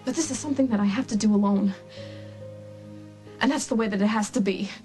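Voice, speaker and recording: A very high-pitched woman's voice plays a proud, ashamed soap opera heroine who is frightened of ageing fast. She pleads haltingly and emotionally. Dramatic TV music plays prominently under her words. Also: lively intonation, a bit bright. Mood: sorrowful, resolute.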